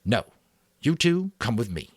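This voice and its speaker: A man voicing an eager, bossy boy who leads a band of hunters, talking in an urgent, excitable way. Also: medium pitch, a little slow, no echo, voice pushed up.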